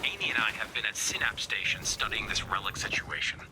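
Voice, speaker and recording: A man playing an excitable, quirky, exasperated scientist in a video game, quippy and given to awkward rambling. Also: on the low side, fairly quick, in a whisper, lightly acted, rough recording. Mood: confiding. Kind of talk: video game character voice acting. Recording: music behind the voice.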